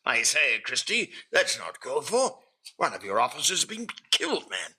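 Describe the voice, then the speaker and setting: An actor playing a pompous, impatient upper-class customs chief in an old-time radio drama, blustering and demanding. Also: male voice, medium pitch, huge pitch swings, normal speed, bright, forceful tone, slightly rough, heavily acted. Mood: affronted, puzzled.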